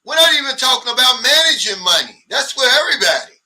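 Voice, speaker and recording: A blunt, animated man in his sixties chats on a livestream, reading out viewer comments and answering them. His voice is high and very bright, a little off the mic. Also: quick pace, distant mic. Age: 60s. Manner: blunt, animated, mimics others' complaints. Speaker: livestream host.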